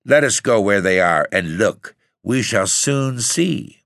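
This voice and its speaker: A man voicing a sharp-tongued, bedridden old aunt who speaks with open contempt. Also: fairly low pitch, lively intonation, slow, creaky, no echo, clean studio sound, voice pushed up, exaggerated voice. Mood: scornful. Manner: contemptuous, commanding.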